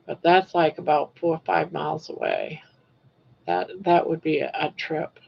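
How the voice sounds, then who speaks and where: An older woman from Maine with a southern Maine accent, hosting a casual livestream chat while reading viewer comments in a rambling, self-correcting way with soft trailing endings. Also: sits low, steady tone, a little slow, gravelly, dull audio, slight background noise. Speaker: livestream host.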